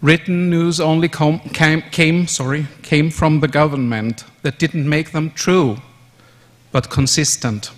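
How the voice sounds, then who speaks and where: A man in his fifties from Austria whose first language is German, giving a measured conference presentation in English with fillers and self-corrections. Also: fairly high pitch, fairly even intonation. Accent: German-speaking Austrian. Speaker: conference speaker. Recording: long hall reverb.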